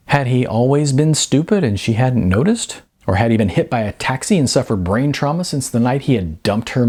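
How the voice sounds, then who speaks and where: A man narrating a novel in an expressive, ironic storytelling manner. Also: medium pitch. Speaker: audiobook narrator.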